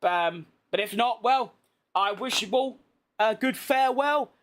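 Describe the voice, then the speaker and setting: A man's voice: extremely high pitch, measured pace, a bit bright. A tired British man streaming a racing game, chatting casually and rambling in a self-deprecating way. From Britain. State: tired from being awake all day. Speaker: gaming streamer. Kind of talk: casual live-stream gameplay chat.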